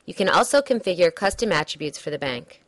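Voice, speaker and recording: A woman reading a scripted software demo narration in a measured, instructional way. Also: fairly low pitch, lively intonation.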